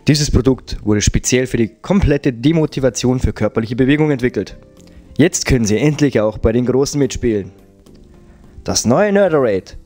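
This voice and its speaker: A man voicing an upbeat TV infomercial announcer in a parody ad, with an emphatic sales pitch. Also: mellow, natural voice. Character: upbeat male TV infomercial announcer selling energy drink. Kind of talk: parody commercial voice-over.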